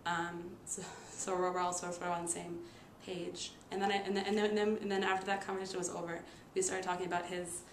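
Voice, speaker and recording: A young woman shares a personal story in a casual, halting way, with a marked stutter that repeats sounds and stalls on words. Her pitch stays quite flat. She is recorded in an echoey room with some noise behind her. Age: 20s. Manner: halting, with frequent fillers. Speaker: nursing student and stuttering therapy client. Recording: roomy echo with noticeable background noise.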